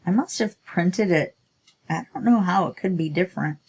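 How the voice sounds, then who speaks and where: A woman's voice: fairly low pitch, normal speed, a little mellow, breathy edge. A woman with a stuffy nose, talking to herself while she demonstrates a craft project in a casual tutorial. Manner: chatty, self-talking while working, sniffly. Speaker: crafting channel host.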